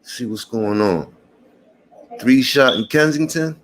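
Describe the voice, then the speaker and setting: A man's voice: medium pitch, lively intonation, slow, slight background noise, poor audio. Chill new guy talking to his vlog, calls everyone brother, easygoing slang. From Puerto Rican family. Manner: laid-back, slangy, calls people brother and man. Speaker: vlogger. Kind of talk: casual morning vlog chat.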